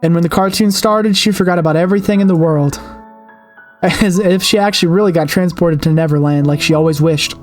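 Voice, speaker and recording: A woman hosting a gaming video, reading a horror game's story aloud in an expressive storytelling manner with her own asides. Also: lowish voice, brisk. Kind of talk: dramatic read-aloud narration of a horror game with asides. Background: game soundtrack music.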